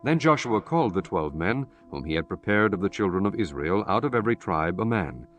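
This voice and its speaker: A man narrating scripture for an audiobook in a solemn, measured and formal reading style. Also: sits low, big pitch swings, a bit bright.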